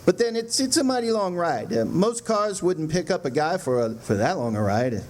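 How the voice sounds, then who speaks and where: A man acting a chatty rural gas station attendant in an old-time radio drama, with folksy, halting, colloquial talk. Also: high-pitched, expressive, a little mellow, a little hiss or hum. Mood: incredulous, curious.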